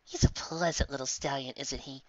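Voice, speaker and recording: A man's voice: mid-range voice, steady tone, moderate pace, darkish tone, no echo, muffled recording, close to an everyday voice. A man voicing a teasing, chatty female pony in a storybook reading, with a sly, gossipy, needling manner. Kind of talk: audiobook character acting.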